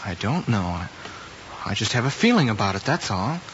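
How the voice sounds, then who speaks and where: A man's voice: lowish voice, big pitch swings, normal speed, a bit bright. Sullen teen guy, curt and defensive, old drama, dull sound with plenty of noise. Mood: evasive. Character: defensive, sullen teenage male student accused of cheating. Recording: muffled old film soundtrack, noisy.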